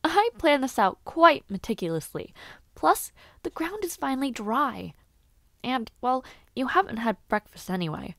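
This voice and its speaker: A woman's voice: high voice, very animated, a little slow, gravelly, fairly bright tone, dry recording, clean studio sound, full character voice. A woman playing an eager, playfully bossy young noblewoman who hopes to be a knight, in an intimate audio roleplay, with formal old-fashioned phrasing and little hesitations.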